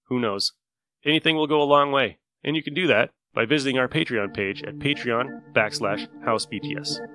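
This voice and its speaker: A man's voice: medium pitch, normal speed. A man hosting a podcast, giving a casual introduction. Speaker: podcast host. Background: loud music.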